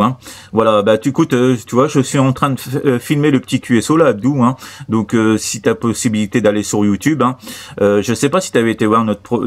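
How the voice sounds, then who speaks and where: A man chatting casually as a CB radio operator, halting and repetitive, with many short verbal tags. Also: lowish voice. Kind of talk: casual radio contact chat.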